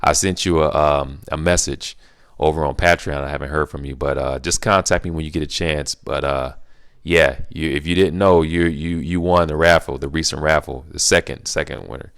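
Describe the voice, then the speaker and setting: Casual male host, very low voice, loose rambling delivery with lots of restarts. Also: steady tone, normal speed, creaky, fairly bright tone, no echo, studio quality. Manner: rambling, repeats himself, restarts often; laid-back, playful teasing. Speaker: YouTube Q&A host. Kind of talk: casual solo Q&A monologue.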